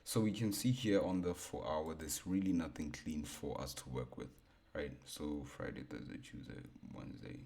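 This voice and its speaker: A young man with a baritone voice casually talking through a chart breakdown, laid-back and repeating himself as he thinks aloud. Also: normal speed, creaky, darkish tone. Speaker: forex trading educator.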